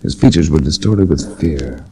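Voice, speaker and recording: A man's voice: a bass voice, normal speed, warm tone. An American man acting a dry, level-headed investigator hero in a 1940s radio drama, with a wry, clipped delivery. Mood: wry, somber narration. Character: hard-boiled male adventurer investigator, dry and level-headed. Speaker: narrator and lead actor. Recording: noisy restored 1940s radio recording.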